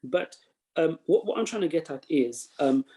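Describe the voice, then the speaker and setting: A man, interviewing in a halting way with many fillers and restarts. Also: on the high side, animated, warm tone. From East London. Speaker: interviewer, imam. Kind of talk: conversational interview.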